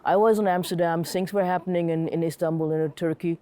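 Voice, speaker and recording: A woman's voice: on the low side, steady tone, quick pace, warm tone. A middle-aged woman from Germany, telling personal stories in a casual, reflective interview style. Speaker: interviewee, comic artist and curator.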